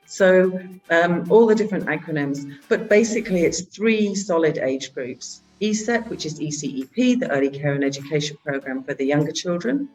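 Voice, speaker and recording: Woman, halting presentation. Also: low voice, a little mellow, rough recording. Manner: halting, with frequent filler sounds. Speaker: head of a charity organisation. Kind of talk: virtual meeting presentation.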